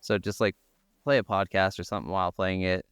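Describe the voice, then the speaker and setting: A man's voice: deep voice, level tone, fast talker, fairly bright tone, smooth, dry recording, studio quality. An American man co-hosting a podcast, chatting casually about games in an informal, rambling way. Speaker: podcast co-host. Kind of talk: casual gaming chat.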